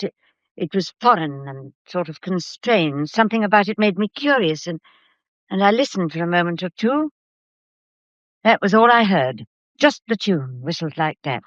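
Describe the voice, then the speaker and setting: An actress in a radio drama playing an anxious, dutiful office secretary who is easily shaken and speaks with emotional, hesitant urgency. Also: female voice, expressive, normal speed, no echo, natural voice. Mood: nervous, earnest. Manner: hesitant, emotional, highly strung. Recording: slightly dull, dry studio sound.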